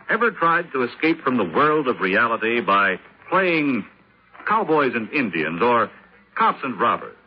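A man's voice: medium pitch, slight background noise. A man with an American accent reading scripted 1950s radio announcements as an announcer. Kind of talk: 1950s scripted public-service narration. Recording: slightly muffled old radio recording.

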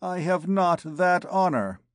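A man's voice: high-pitched, animated, slow, no echo, clean studio sound, voice pushed up. A man playing a stammering, timid young poet. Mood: meek. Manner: hesitant, pleading.

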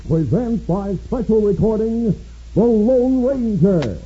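A man's voice: high voice, animated, slow, warm tone, breathy edge. A man announcing and narrating a 1950s radio western in a grand, dramatic style, stretching key words. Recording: tinny vintage 1950s radio recording. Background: music.

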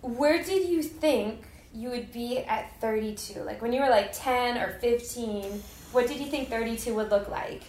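Higher-voiced young woman hosting, roomy sound. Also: moderate pace, few pauses, a little mellow. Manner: effusive, informal slangy speech. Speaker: podcast host. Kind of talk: casual interview chat.

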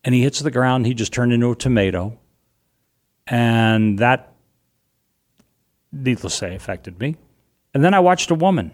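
A middle-aged man with a loud voice, telling a personal story as an interview guest, animated and vivid, acting out what people said. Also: mid-range voice. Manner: vivid, animated retelling with acted-out quotes.

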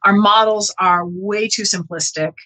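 Bright-voiced woman explaining academic research. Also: medium pitch, fairly even intonation, a little slow, poor audio. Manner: earnest, explanatory, checks with "right?". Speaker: interview guest, geneticist and author. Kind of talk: conversational academic interview.

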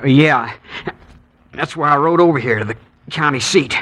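A man's voice: mid-range voice, normal speed, bright, forceful tone. An actor playing a wounded young male gold prospector in a 1950s radio drama, earnest and a bit anxious.

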